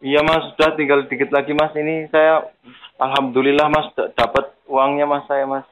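A man's voice: on the high side, flat delivery, moderate pace, a bit bright. A man making a prank phone call, talking politely and insistently, as if pleading. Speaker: prank caller. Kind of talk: prank phone call, playing a grateful victim.

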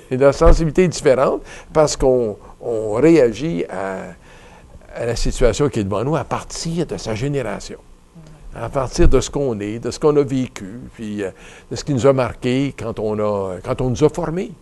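An older man in his seventies with a Canadian French accent speaks in a relaxed studio interview. He muses at a medium pitch, wandering through thoughts with frequent hesitations and restarts. The close lapel mic gives a clean sound in a small bright room. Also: moderate pace. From Ottawa, Canada. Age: 70s. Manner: reflective and rambling, many fillers and restarts, gestures while talking. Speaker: retiring bishop being interviewed.

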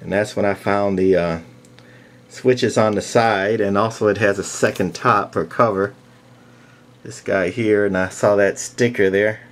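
A man giving a casual, unscripted walk-through in a halting, matter-of-fact way, like a hobbyist collector presenting his gear. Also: on the low side, steady tone. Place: indoors, small room. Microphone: handheld camcorder's built-in mic.

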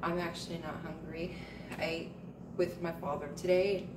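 A woman with a lowish voice chats in a plain, matter-of-fact way while she cooks at home. She moves around the kitchen, away from a camera sitting on the counter. Her voice bounces off the room, and steady noise runs underneath. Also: moderate pace. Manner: chatty, matter-of-fact, talks while cooking and moving about. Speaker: home cooking vlogger. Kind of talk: casual cook-along narration. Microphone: camera on the counter, speaker moving around. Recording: echoey kitchen, distant from camera, loud background noise.

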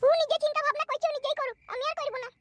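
A nagging cartoon village mother with a rural West Bengal accent. The voice is extremely high and squeaky, snappish and rushed, with music behind it. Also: steady tone, background noise. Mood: accusing, sulky. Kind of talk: comic cartoon dubbing.

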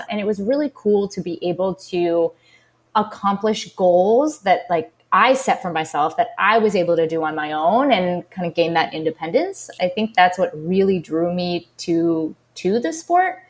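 A woman's voice: lowish voice, lively intonation, measured pace. A woman in her thirties from the Boston area, a former gymnast, talking about her life as an interview guest in an upbeat, chatty way with many fillers. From United States, Boston area. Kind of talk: casual interview talk.

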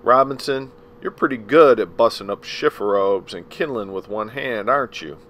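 A man's voice: on the low side, moderate pace, a bit bright, natural voice. A man voicing a cold, scornful prosecutor who presses a witness with contempt. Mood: sneering.

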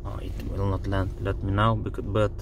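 A man with a Lithuanian accent, a native Lithuanian speaker, complains in a car about voice input while showing his phone and dashboard screen. He speaks in halting English with many fillers and sounds frustrated. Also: low-pitched, monotone, background noise. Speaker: driver demonstrating a car app. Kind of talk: casual complaint video. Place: inside a parked car.

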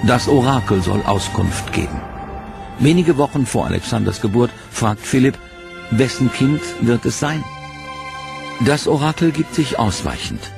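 Gravelly male documentary narrator over music. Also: medium pitch, animated. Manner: dramatic, measured storytelling.

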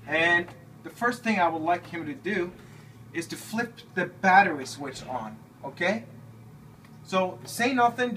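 A man's voice: on the high side, fairly bright tone, a little hiss or hum. Rambling man on a distant camera mic. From Norway. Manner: chatty, rambling, many fillers and restarts. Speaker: flight simulator builder. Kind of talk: casual video request. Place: home-built airliner cockpit simulator, indoors. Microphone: camera held by his son a few feet away.

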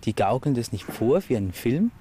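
A man's voice: medium pitch, very animated, a little fast, mellow, a little hiss or hum. A man speaking as an expert in a documentary interview, explaining and restating his points with emphasis.

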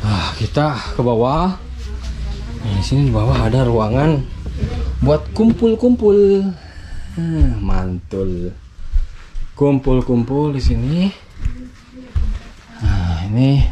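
A man's voice: mid-range voice, very animated, slow, long pauses. Guy filming a villa tour on a handheld cam, chatty, lots of filler words, noisy audio. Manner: chatty, loose, full of fillers. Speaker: vlogger touring a villa. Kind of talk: casual walkthrough commentary. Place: indoors and outdoors at a mountain lakeside villa.

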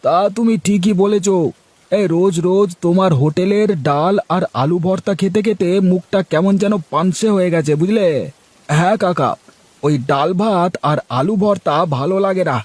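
A man's voice: sits high, normal speed, a little mellow, dry recording. A man voicing a blunt, fussy younger village customer in a cartoon, with a complaining delivery. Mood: complaining, dismissive. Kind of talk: cartoon voice acting.

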